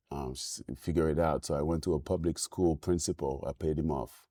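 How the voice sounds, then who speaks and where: Very deep-voiced Senegalese-accented man. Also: warm tone, no echo, studio quality. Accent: Senegalese French. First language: French. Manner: thoughtful, halting with many ums and restarts. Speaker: guest. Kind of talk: reflective interview talk.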